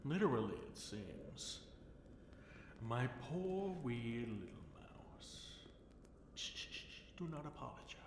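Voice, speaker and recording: A man playing a possessive, seductive fallen dragon king in an intimate ASMR fantasy roleplay, with teasing, commanding delivery and breathy whispered words. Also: medium pitch, sing-song, slow pace. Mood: teasing, languid. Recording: roomy echo, faint background noise.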